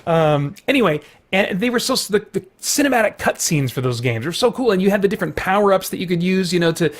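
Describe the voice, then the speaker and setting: A middle-aged man with a slightly high voice chats casually to viewers. He is enthusiastic and rambles through loose asides as he goes. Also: expressive, fast talker. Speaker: video host.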